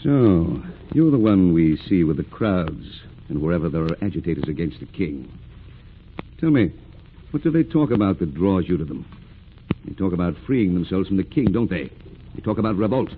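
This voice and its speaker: An actor playing an imperious, menacing British naval captain in an old radio drama, stern and interrogating. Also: male voice, sits low, very animated, normal speed. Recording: tinny, hissy old radio recording.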